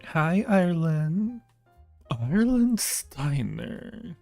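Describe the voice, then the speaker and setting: A man's voice: on the high side, sing-song, very slow, vocal fry, mellow, dry recording. A man hosting a livestream, chatting casually and playfully with viewers and speaking to them affectionately. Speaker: livestream host and audio roleplay creator. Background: background music.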